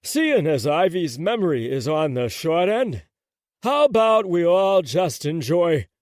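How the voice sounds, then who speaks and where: A man's voice: fairly high pitch, big pitch swings, unhurried, no room sound, studio quality, voice pushed up. A man voicing a cocky young man with a short fuse. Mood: smooth. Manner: smooth then hollering. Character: cocky young man who loses his temper.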